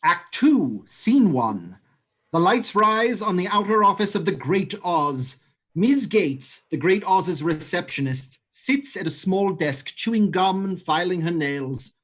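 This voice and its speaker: A man reading stage directions in a plain, matter-of-fact voice that sits slightly high for a man. He keeps it even and gives the action without drama. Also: slow pace.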